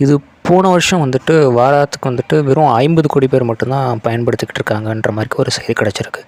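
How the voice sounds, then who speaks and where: A man's voice: mid-range voice, darkish tone. A man presenting tech news in an informal, explanatory way, conversational and peppered with filler words and English terms. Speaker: tech news video host.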